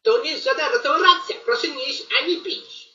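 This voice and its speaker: A strict, flustered schoolteacher played by a woman in a homemade comic radio play. She scolds in a high, halting voice that swings up and down. The recording is muffled and roomy. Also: pushed and bright, far from the mic. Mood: scolding. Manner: halting, repeating words, scolding.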